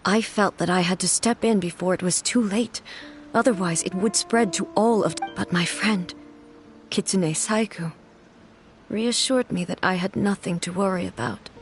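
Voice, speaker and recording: Regal woman ruler, acted by a woman, calm, measured, formal, grave, mid-pitched, faint game music. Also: close to an everyday voice. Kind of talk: video game dialogue.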